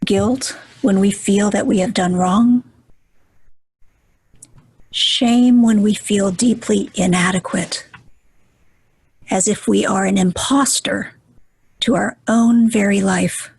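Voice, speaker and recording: Slow, breathy woman guiding meditation. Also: mid-range voice, fairly even intonation, no echo. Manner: gentle, soothing, deliberate with pauses. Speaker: meditation guide.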